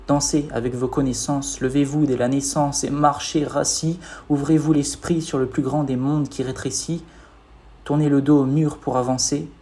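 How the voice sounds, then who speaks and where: A man performs his own spoken-word poem. His voice is mid-pitched and mellow, with little brightness. The delivery is rhythmic and declamatory, like a recital of written verse.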